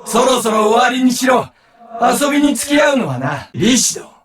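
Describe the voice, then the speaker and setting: A man's voice: extremely high pitch, sing-song, fast. A man playing a menacing, vengeful young duelist villain in an anime card game, with a theatrical, taunting delivery. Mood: sadistic, taunting. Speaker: voice actor. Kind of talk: Japanese anime game character lines.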